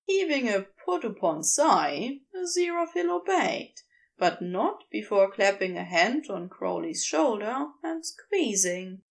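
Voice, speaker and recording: Slow, expressive woman narrator. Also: medium pitch, vocal fry. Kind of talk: scripted fiction narration.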